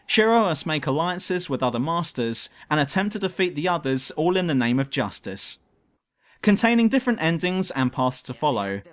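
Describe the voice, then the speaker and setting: A man's voice: a little high, a bit bright. A man reading a scripted review narration in a dramatic, emphatic way, as the presenter of a countdown video. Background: music.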